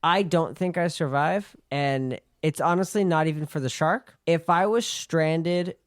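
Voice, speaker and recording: Male co-host, a bit high-pitched, blunt and emphatic, dry close mic. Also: a little slow, dry recording. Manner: blunt, emphatic, argues points. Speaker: podcast co-host. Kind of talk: casual chat.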